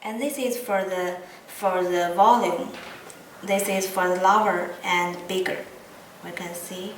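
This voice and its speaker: A woman who is not a native English speaker gives an unscripted product demonstration, hesitant and pausing often mid-phrase. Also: lowish voice, fairly even intonation. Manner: halting, hesitant speech with frequent pauses mid-phrase. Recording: roomy echo, distant mic, background noise.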